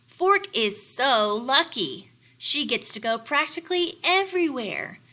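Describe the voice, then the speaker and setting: Woman reading as a wistful, envious storybook spoon, bright voice. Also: sits high, expressive, measured pace, pitched above the performer's own voice, full character voice. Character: young restless envious spoon, complaining about luckier friends.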